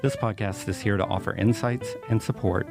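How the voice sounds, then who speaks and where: A man hosting a podcast, reading a measured, upbeat introduction and then asking interview questions. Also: lowish voice, fairly even intonation, creaky, over music. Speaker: podcast host.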